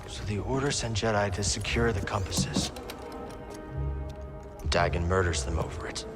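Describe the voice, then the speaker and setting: A young male hero acted by a man talks in a low voice, earnest and determined. It is natural video game dialogue under loud music. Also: normal speed, big-room sound, lightly acted. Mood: concerned, questioning. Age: young adult.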